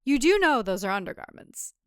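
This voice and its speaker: A woman's voice: fairly high pitch, sing-song, a little fast, fairly bright tone, clean tone, dry recording. Woman playing a folksy, pushy husband, boastful; dry close read. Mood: teasing. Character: smug, overly familiar male farm husband.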